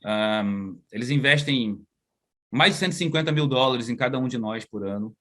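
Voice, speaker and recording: Brazilian researcher guest, relaxed, slangy, chatty, heard over a video call. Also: male voice, medium pitch, normal speed. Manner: chatty, slangy, full of tag questions. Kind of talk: casual video-call interview. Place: outdoors at home, moving inside.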